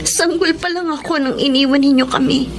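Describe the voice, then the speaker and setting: Over clear music, a gentle young Filipino daughter. The voice is extremely high and tender, full of longing in a radio drama style. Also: female voice, brisk. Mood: tender, tearful. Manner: tender, emotional. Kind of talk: Tagalog radio drama acting. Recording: music under the voice.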